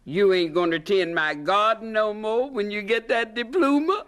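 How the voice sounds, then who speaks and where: An actress playing an older, plain-spoken, skeptical aunt with a folksy, scolding manner in a radio drama. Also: female voice, medium pitch, normal speed, fairly bright tone, close to an everyday voice. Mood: scolding, disbelieving.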